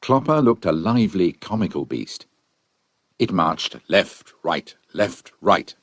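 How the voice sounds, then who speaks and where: Deep-voiced man narrating a children's story. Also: big pitch swings, slow, a bit bright, no echo. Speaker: audiobook narrator.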